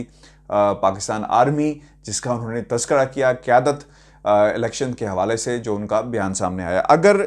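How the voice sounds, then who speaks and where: A man giving news commentary to online viewers as a host, speaking haltingly with drawn-out pauses. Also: mid-range voice. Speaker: political commentator and video host.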